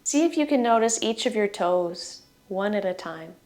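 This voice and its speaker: A woman leading a guided relaxation in soothing, gentle short phrases that trail off softly at the ends. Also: mid-range voice, lively intonation, moderate pace. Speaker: meditation guide.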